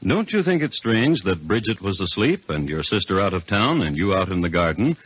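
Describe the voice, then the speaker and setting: A man playing a wry true-crime chronicler, narrating a 1950s radio drama with dry, deliberate humour and a husky voice. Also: low-pitched, very animated, slight background noise. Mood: probing. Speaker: narrator and host. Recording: dull lo-fi 1950s radio recording.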